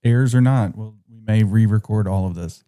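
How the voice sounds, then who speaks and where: Very dark-voiced man, teasing co-host. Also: on the low side, moderate pace, smooth, no echo. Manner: dry, teasing short replies. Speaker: podcast co-host. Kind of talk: casual podcast chat. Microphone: studio dynamic mic.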